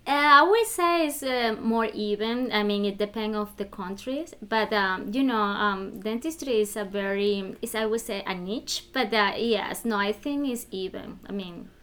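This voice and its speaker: A woman's voice: medium pitch, moderate pace, few pauses, fairly bright tone, clear, resonant tone. A woman from Venezuela with a Venezuelan Spanish accent, answering interview questions in a podcast, with many fillers and restarts. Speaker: guest.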